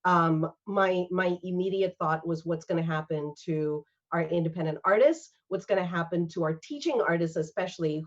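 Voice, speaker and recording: A woman from Singapore, an arts fund organizer, speaking earnestly and from the heart in a panel conversation over a video call. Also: on the low side. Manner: earnest, heartfelt, with frequent fillers. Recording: dull lo-fi video call audio.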